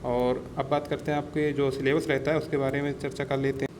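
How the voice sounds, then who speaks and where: A man's voice: mid-range voice, little pitch movement, brisk, a little mellow. Man explaining, noisy recording. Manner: repetitive, addresses listeners as friends, mixes in English words. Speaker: exam-prep instructor. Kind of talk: informal explainer lesson on police recruitment. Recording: clear background noise.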